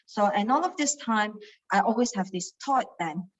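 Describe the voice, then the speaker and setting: A woman's voice: medium pitch, animated, moderate pace. Hesitant Malaysian-accented woman. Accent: Malaysian English. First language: Cantonese. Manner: hesitant, many fillers and repeated words. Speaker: study group member sharing experience. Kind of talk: personal sharing talk.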